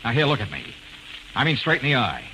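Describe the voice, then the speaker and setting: An actor playing a tough, wry young private detective in a 1940s style radio drama, with an American accent. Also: male voice, medium pitch, very animated, fast, sharp tone, rough recording. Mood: firm, scolding. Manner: wry, blunt. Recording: hissy vintage radio recording.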